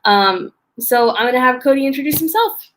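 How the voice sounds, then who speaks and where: A woman's voice: sits high, expressive, brisk. A woman teacher hosting an online interview, enthusiastic and a little hesitant as she asks her questions. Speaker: host, teacher.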